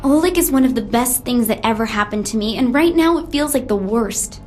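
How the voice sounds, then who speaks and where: Lady voicing brainy conspiracy minded ex agent woman, self-important n plaintive, high voice, video game. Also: lively intonation, brisk, close to an everyday voice. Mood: wistful, lovelorn. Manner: indignant, plaintive, pompous. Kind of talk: scripted video game audio log monologue. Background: music behind the voice.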